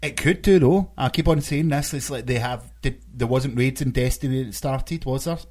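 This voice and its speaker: A man's voice: mid-range voice, normal speed, a little mellow. A man co-hosting a podcast, talking in a casual, conversational style. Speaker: podcast co-host. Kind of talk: casual chat about games.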